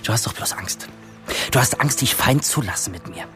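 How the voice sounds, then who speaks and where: A man's voice: medium pitch, expressive, moderate pace, bright, forceful tone, soft background music. An actor playing a blunt, defiant young working-class man in love in a German radio drama. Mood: insistent.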